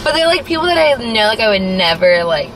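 A woman's voice: mid-range voice, sing-song, fast. A young American woman chatting casually and teasingly, slangy and sweary, inside a car. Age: young adult. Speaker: vlogger. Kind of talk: casual chat. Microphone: camera in the car, off the mouth. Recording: distant mic in noisy car.

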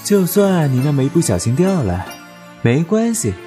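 Guy playing a whiny dramatic boyfriend in a comedy radio play, super lively pitch, fake solemn, music behind him. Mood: mock-solemn. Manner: mock-solemn, theatrical, drawn-out delivery. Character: melodramatic young boyfriend, clingy, self-pitying, cheeky. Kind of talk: comic audio drama acting.

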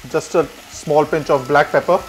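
A man's voice: a little high, fairly even intonation, moderate pace, a little hiss or hum, rough recording. A man in his thirties, a chef, giving a cooking demonstration in an indoor kitchen.

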